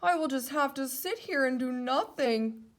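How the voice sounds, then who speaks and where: Woman putting on a loud, high, excitable toad. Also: normal speed. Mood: glum, resigned. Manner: loud, wailing, exclamatory. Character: excitable, anxious, stubborn male toad. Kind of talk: children's storybook character voice.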